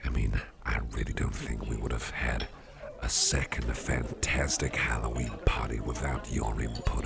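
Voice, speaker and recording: A man playing a friendly, affectionate office boss in an ASMR roleplay, murmuring in a breathy half-whisper and rambling gently as he repeats himself. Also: rumbling low, heavily acted. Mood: warm, grateful. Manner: hesitant, repetitive, gently rambling. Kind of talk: ASMR roleplay, intimate personal attention. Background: music.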